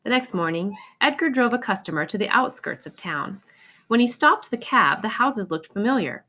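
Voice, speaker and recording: A woman reading a children's picture book aloud in an expressive storytelling manner. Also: fairly high pitch, normal speed. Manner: expressive, acts out exclamations and dialogue. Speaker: storybook reader.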